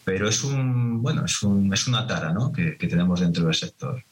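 A man's voice: fairly low pitch, expressive, moderate pace. A middle-aged man from Madrid with a Madrid Spanish accent, a winemaker answering interview questions in a reflective, hesitant way with many restarts. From Madrid, Spain.

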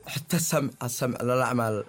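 Male guest, Yemeni accent, hoarse-tinged mid-pitched voice, informal answers with halting phrasing. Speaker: singer, interview guest.

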